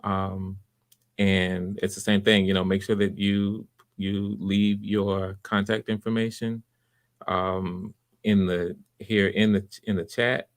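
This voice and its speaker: A man hosting live auditions in casual, unscripted chat, with an encouraging manner and a halting way of repeating and backtracking. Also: on the low side, flat delivery, no room sound. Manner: encouraging, supportive. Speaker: host running live voice auditions.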